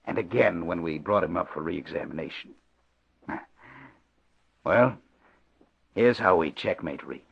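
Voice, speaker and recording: An actor playing a coolly smug, corrupt doctor in an old-time radio drama, speaking in a sardonic, deliberate way. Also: male voice, low-pitched, gravelly, breathy edge, exaggerated voice. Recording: muffled old radio recording.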